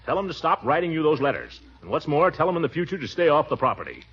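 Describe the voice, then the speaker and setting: An actor playing a blustering, pompous middle-aged uncle in a 1940s radio comedy, booming and chuckling. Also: male voice, sing-song, fast, breathy edge. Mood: scolding. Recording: dull 1940s radio broadcast.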